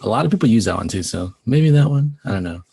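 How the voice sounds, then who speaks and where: A man with a deep voice co-hosting a casual podcast, speaking hesitantly and trailing off, recorded online without a proper mic. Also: expressive, quick pace, creaky, warm tone, husky, no room sound. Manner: hesitant, trails off, short agreeing replies. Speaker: podcast co-host.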